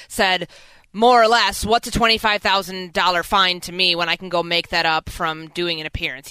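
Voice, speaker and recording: A woman's voice: on the low side, sharp tone, smooth, dry recording, poor audio. A woman hosting sports talk radio, giving fluent, assertive commentary.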